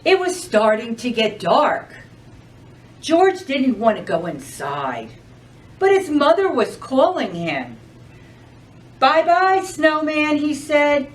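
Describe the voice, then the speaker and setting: A woman's voice: a little high, wildly swooping pitch, takes it slowly, distant mic, rough recording. A woman reading a children's storybook aloud as a storytime reader, expressively and addressing the young listeners directly.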